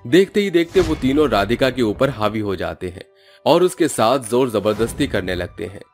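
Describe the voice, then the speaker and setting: A man's voice: mid-range voice, lively intonation, normal speed, darkish tone, soft background music. A man narrating a scripted cartoon story as the storyteller.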